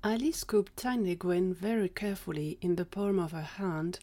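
A woman's voice: lowish voice, moderate pace, dark tone. A woman narrating a scripted story in an audio drama.

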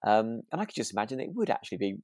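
A man's voice: lowish voice, sing-song, rapid-fire, darkish tone, no room sound, studio quality. A man co-hosting a podcast, chatting casually in a hesitant, tentative way. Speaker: podcast co-host. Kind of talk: relaxed chat reviewing a radio drama.

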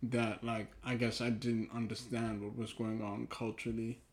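A man's voice: fairly low pitch, little pitch movement. A man from Ghana whose mother tongue is Twi, hosting a casual, reflective podcast conversation in a hesitant, thoughtful manner. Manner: hesitant, thoughtful, trailing pauses. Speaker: podcast host.

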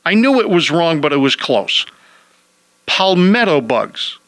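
A man with a fairly high, bright and forceful voice hosts a call-in radio show about homes. He chats casually and throws in wisecracks, and his voice sounds dry and close to the mic. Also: sing-song, a little slow, no echo. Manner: joking, wisecracking.

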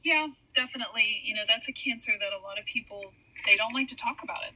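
A middle-aged woman from Hawaii, a podcast guest, speaking softly and haltingly with many fillers in a casual interview. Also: a little high, breathy edge, rough recording.

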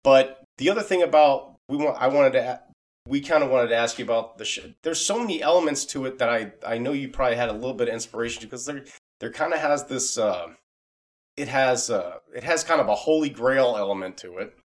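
A man's voice: medium pitch, a little fast. A man talking casually as a podcast co-host, earnest and enthusiastic, wandering through his thoughts as he goes. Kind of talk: casual, unscripted interview talk.

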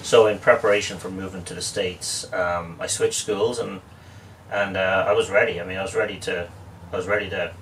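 A man's voice: sits low, fairly even intonation, brisk, a bit bright, distant mic, slight background noise, low-quality recording. A man in his forties with an Irish Dublin accent, a guest telling stories in a chatty, joking way with frequent restarts. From Ireland. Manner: chatty storyteller, wry jokes, frequent restarts. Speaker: guest, real estate expert. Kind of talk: casual interview chat.

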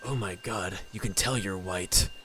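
A man's voice: low-pitched, unhurried, heavy background noise. A man voicing a smug, laid-back stoner character in a comedic fan dub. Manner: smug, lazy, sarcastic.

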